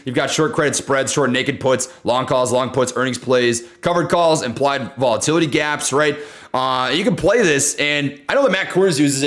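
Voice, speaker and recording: A young man hosting a casual livestream, talking loudly and excitably while reading chat. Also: a little high. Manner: loud, excitable, says he always yells. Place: home room.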